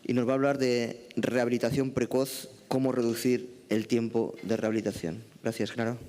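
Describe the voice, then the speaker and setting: A man's voice: mid-range voice, little pitch movement, fairly quick, a little mellow. A man making a formal conference introduction as session moderator.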